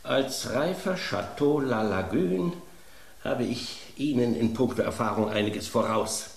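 An actor playing a mature red wine, a polite but condescending snob, in a radio play. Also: male voice, mid-range voice, animated, unhurried, creaky, roomy sound.

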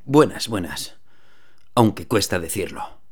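An actor playing a weary shipyard worker giving sober testimony over a clandestine radio link in a radio drama, with a Spanish accent. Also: male voice, sits low, animated, a little slow, husky, exaggerated voice. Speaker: shipyard worker interviewed by phone.

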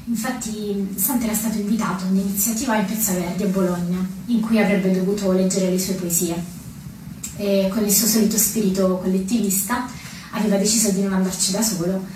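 A woman's voice: medium pitch, darkish tone, a little hiss or hum. A woman who performs poetry in a music duo, speaking as for a recital audience. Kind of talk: spoken introduction and dramatic poetry recital with music. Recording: very echoey, distant from mic. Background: plucked guitar music.

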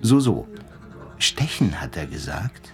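An actor playing an earnest, inquisitive hospital doctor in a German radio play, with an emphatic, probing manner. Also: male voice, extremely low pitch, very animated, slow, soft background music, heavily acted. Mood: thoughtful.

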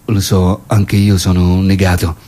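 Low, husky, earnest boyfriend, played by an actor. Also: male voice, flat delivery, a little slow, warm tone. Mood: reassuring. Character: tender, earnest boyfriend declaring indifference sweetly. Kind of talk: radio theatre comic dialogue.

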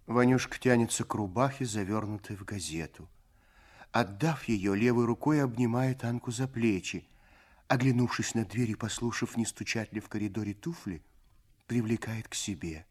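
A man's voice: lowish voice, normal speed, slightly rough. Male narrator of a radio story. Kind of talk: scripted literary narration for radio play.

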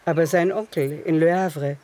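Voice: medium pitch, steady tone, normal speed, darkish tone, a little hiss or hum. A narrator telling a literary story in a radio drama, in a composed manner. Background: music.

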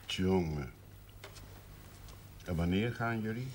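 Quiet, deep-voiced family man. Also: slow. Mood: subdued, patient. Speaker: actor in a television drama. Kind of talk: television drama acting. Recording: old TV soundtrack, faint noise.

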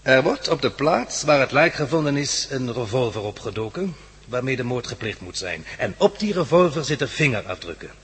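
Smug radio drama bad guy, sharp bright raspy voice, taunting but oh so polite. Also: medium pitch, lively intonation, normal speed. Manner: mock-polite, taunting, says "nietwaar". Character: smug, menacing male crime villain. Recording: echoey hall reverb.

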